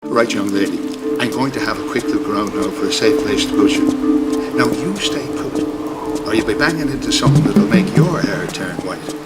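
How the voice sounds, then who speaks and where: An actor playing a caring, gruff Irish priest in a video game, folksy and wry as he fusses and scolds. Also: lowish voice, moderate pace, echoey room, over loud music, lightly acted. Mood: wry, reassuring. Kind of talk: video game cutscene acting.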